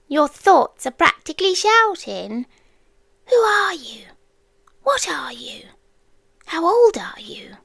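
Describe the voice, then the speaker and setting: A British woman voicing an ancient, possessive female creature in a yandere ASMR roleplay, soft and teasing, often slipping into breathy whispers. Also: high-pitched, dry recording, clean studio sound, heavily acted. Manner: slow, deliberate, teasing, intimate. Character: ancient possessive female creature, a watchful, devoted stalker girlfriend. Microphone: close mic, dry.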